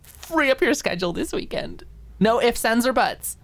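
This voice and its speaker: Audiobook drama role: caring, persistent young woman, raised pitch, casual playful gush woman performer. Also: expressive, moderate pace. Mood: excited, bossy. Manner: casual, gushing, playful slang. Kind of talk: dramatic audiobook character acting.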